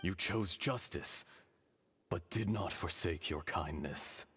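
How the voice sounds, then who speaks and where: Primeval dragon spirit for a game: very low, unhurried, weary, fateful and noble. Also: male voice, a bit bright, slight background noise. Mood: solemn. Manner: slow, solemn, grandly poetic. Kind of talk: scripted video game acting. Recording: game audio with music behind.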